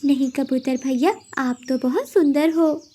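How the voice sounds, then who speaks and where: A woman voicing a kind, sensible little female sparrow who reasons and gently admonishes in an animated fable. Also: high-pitched, a little slow. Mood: calm, reasoning.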